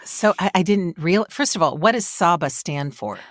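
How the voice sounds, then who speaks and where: A woman with a deep voice hosts an interview podcast. She talks conversationally to her guest, and the recording is close and dry, without room echo. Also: lively intonation, moderate pace.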